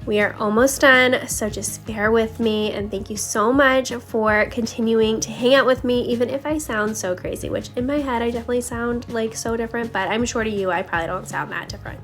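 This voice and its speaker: Woman, fairly high voice, slightly scratchy, casual chatty vlog narration, music in the background. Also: fairly even intonation, fairly quick, hardly any pauses. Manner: chatty, confiding, addresses viewers directly. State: slightly scratchy voice, needs to clear throat. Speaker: home cleaning vlogger.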